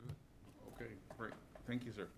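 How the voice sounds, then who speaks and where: A man presiding over a public meeting, making informal remarks in a halting way. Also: mid-range voice, little pitch movement, gravelly, background noise. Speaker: presiding city leader. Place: city commission meeting room.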